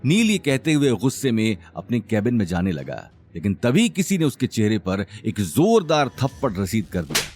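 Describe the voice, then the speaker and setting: A man narrating a scripted audio drama in a dramatic, expressive storytelling manner. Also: on the low side, normal speed, few pauses, breathy edge, soft background music.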